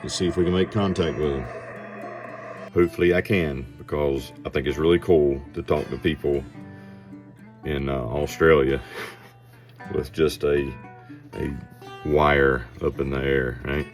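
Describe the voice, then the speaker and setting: A man's voice: a bass voice, steady tone, unhurried, pauses often, soft background music. An American man hosting a casual amateur radio vlog, talking through his contacts and giving call signs.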